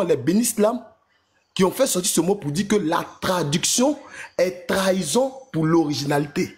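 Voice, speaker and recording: A man's voice: high-pitched, a little mellow. A man speaking in an online religious debate, repeating himself and hesitating as he makes his point. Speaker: debate panelist.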